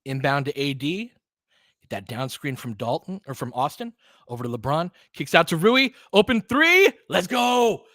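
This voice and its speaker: A man's voice: on the high side, sing-song, moderate pace, sharp tone, no room sound, clean studio sound. A man doing informal, partisan live basketball commentary as a fan host, talking casually with some mild swearing.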